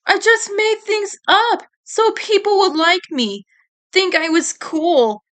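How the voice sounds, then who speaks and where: A woman's voice: very high-pitched, big pitch swings, takes it slowly, fairly bright tone, voice pushed up, full character voice. A woman voicing a remorseful, emotional boy schemer in a cartoon story. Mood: tearful confession.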